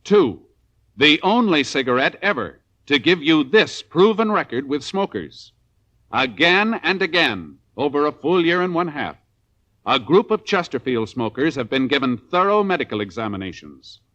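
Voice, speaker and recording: A man reads a vintage 1950s radio commercial. His voice sits a bit high and moves in lively contours, with measured, authoritative emphasis. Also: dull audio. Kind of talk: scripted 1950s radio advertisement.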